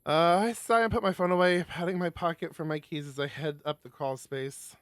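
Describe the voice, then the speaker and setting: Higher-voiced man on a dry mic. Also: fairly even intonation, fairly quick, fairly bright tone, dry recording. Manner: casual, sweary asides between narrated passages. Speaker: host of a visual novel stream. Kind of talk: reading aloud and chatting on a game stream.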